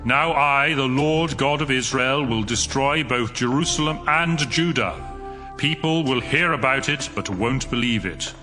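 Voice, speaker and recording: A man's voice: medium pitch, measured pace, sharp tone. A man playing a stern, commanding God in a dramatized scripture reading, solemn and declamatory. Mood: stern wrath. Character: the Lord God, commanding, stern male deity. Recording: music bed behind the voice.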